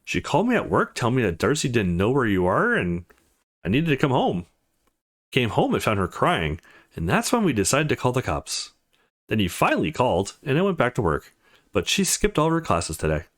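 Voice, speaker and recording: A man's voice: mid-range voice, wildly swooping pitch, a little fast. A man reading visual novel dialogue aloud as a let's play host, voicing the characters' lines as he goes. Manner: voices the characters' lines as he reads.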